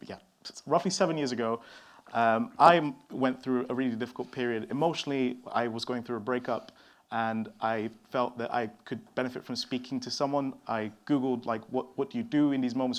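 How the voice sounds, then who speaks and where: A man from São Tomé and Príncipe giving a conference talk on stage, relaxed and full of fillers and restarts. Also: medium pitch. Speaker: conference speaker. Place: conference stage before an audience.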